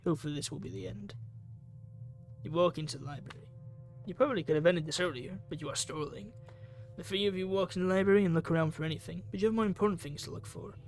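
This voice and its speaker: Male game narrator, fairly high voice, dramatic visual novel read-aloud, faint music behind. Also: creaky. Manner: acts out characters' lines and thoughts.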